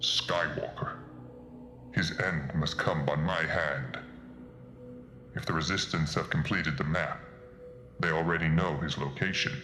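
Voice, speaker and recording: Male actor as devoted young villain's apprentice in audio drama: extremely low voice, intense, echoing space. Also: a little slow, long pauses, bright, forceful tone, exaggerated voice. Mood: solemn, resolute. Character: brooding, fervent young male dark-side apprentice. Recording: echoing voice over faint music.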